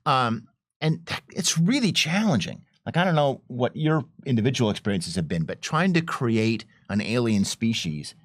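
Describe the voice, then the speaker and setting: A man's voice: mid-range voice, sing-song, normal speed, no room sound, clean studio sound. A man chatting as a panelist, emphatic, with stop-start phrasing and repeated words. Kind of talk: casual panel discussion.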